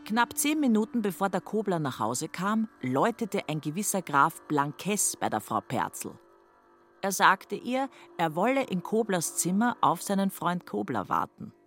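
A woman's voice: on the low side, big pitch swings, unhurried, fairly bright tone, faint music behind. A woman reading scripted literary narration for a radio play.

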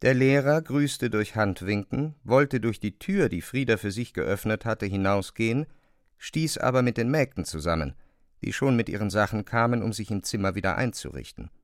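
A man's voice: fairly low pitch, moderate pace, no room sound, clean studio sound. A man narrating a classic novel as scripted literary prose.

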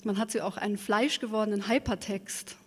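A woman's voice: mid-range voice, fairly even intonation, fairly quick, breathy edge. A woman moderating an interview, formally addressing her guest in articulate, carefully phrased remarks. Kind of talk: prepared interview introduction and questioning. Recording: reverberant hall.

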